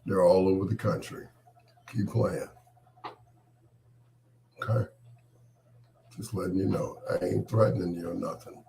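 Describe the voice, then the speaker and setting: A man with a cold hosts a late-night monologue in a very deep voice. He speaks slowly and sounds fed up, his sentences sinking away into quiet endings. A faint hiss of noise sits under the recording. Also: fairly even intonation, creaky. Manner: irritated, dismissive, trailing off quietly. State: has a cold, voice partly lost. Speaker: host. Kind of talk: casual on-air monologue.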